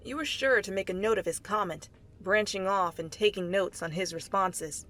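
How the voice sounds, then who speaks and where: A woman reading fan fiction aloud as an expressive second-person narrator in an ASMR style. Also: medium pitch, moderate pace. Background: faint music.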